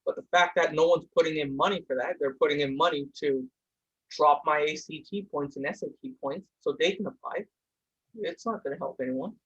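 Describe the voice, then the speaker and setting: A man's voice: on the high side, a bit bright, husky, lo-fi sound. A young man hosting a podcast from a room at home, talking heatedly with many restarts. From India. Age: young adult. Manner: heated, talks fast with restarts. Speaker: podcast host. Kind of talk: casual discussion.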